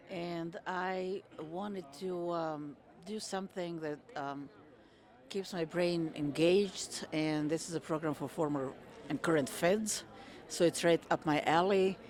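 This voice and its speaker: Woman from Croatia, measured speech. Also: lowish voice, darkish tone. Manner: measured, frequent ums and uhs. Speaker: interviewee, retired federal worker. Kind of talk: conversational interview answers. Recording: crowd chatter behind. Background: crowd chatter.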